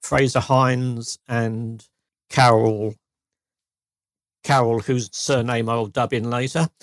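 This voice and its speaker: A man's voice: medium pitch, steady tone, slow pace, dry recording. A man from England co-hosting a podcast, chatting casually and joking, with fillers along the way. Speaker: podcast co-host. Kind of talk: casual chat.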